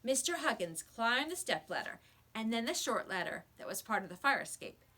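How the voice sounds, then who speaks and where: Bright-voiced woman reading a storybook aloud. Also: on the high side, sing-song, moderate pace. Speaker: teacher reading a children's book aloud. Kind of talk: expressive storybook narration.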